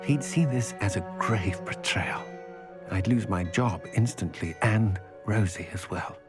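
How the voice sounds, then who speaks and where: A man's voice: on the low side, a little slow, over music, close to an everyday voice. A man playing an anxious, lovesick shop clerk in a video game, with a British accent and a formal, fretful manner. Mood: worried, earnest. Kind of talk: video game voice acting, scripted dialogue.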